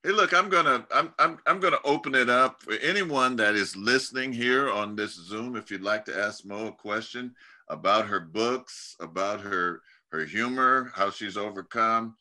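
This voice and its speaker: A middle-aged man hosts a casual community video call from his book-lined home study, heard through a webcam. His voice is fairly low yet very bright and sharp in tone. He rambles easily, restarting phrases and filling pauses as he goes. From California. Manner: relaxed, rambling, many restarts and fillers. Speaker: host of church community video-call talk. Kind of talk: casual interview chat.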